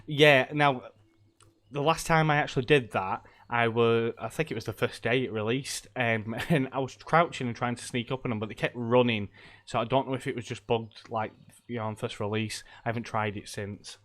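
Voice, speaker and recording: A man's voice: mid-range voice, steady tone, moderate pace, a bit bright. A middle-aged man, stuffy from a cold, chatting casually and rambling with lots of ums and laughs while live-streaming a game.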